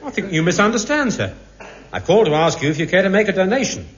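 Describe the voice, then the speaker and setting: An actor playing a polite, formal, slyly knowing police constable in a 1950s radio sitcom. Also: male voice, high-pitched, sing-song, fast. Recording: muffled hissy 1950s radio broadcast.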